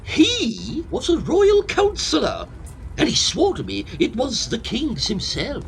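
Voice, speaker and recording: An actor playing a fussy, self-pitying hypochondriac old Victorian professor in a comic audio drama, theatrical and pompous. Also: male voice, very high-pitched, huge pitch swings, takes it slowly, creaky, slight background noise, exaggerated voice. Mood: proud, boastful.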